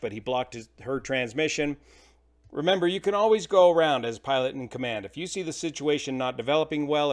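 A middle-aged American man giving informal, colloquial explanatory commentary as an aviation host. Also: medium pitch.

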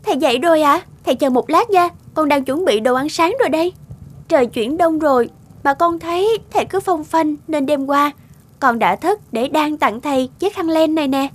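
A woman playing a devoted, sweet young music student in an animated folk tale, with a polite, attentive manner. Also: high-pitched, moderate pace, natural voice. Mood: sweet, caring.

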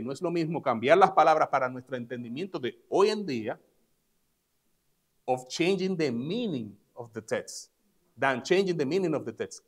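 Man, native Spanish speaker, church pastor, emphatic bilingual sermon, raised pitch. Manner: preaches each line in English, then repeats it in Spanish; emphatic, stressing key words. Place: church service.

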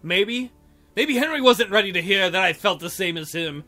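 A man's voice: high-pitched, lively intonation, normal speed, sharp tone, soft background music. A man reading a visual novel aloud in a theatrical way, adding irreverent joking commentary.

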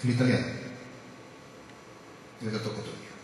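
A man's voice: fairly low pitch, moderate pace, a little mellow, distant mic, a little hiss or hum. Echoey middle-aged man from Spain, Spanish accent. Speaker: amateur singer introducing a song. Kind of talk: informal spoken introduction to a song. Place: small indoor room. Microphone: handheld microphone through a PA, camera a few meters away. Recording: very echoey microphone sound in a room.

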